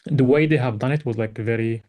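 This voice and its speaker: Soft-toned Moroccan-born man giving a talk. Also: medium pitch, brisk. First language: Tarifit. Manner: many fillers and restarts, heavy use of "like". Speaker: invited speaker. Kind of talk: academic talk presenting slides.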